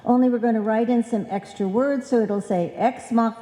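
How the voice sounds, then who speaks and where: A woman's voice: on the high side, steady tone, normal speed, dark tone, natural voice. A woman playing a scatterbrained, trusting wife in an old-time radio comedy, earnest and muddled. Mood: cheerful. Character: scatterbrained, trusting, chatty wife and card seller. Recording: echoey live hall.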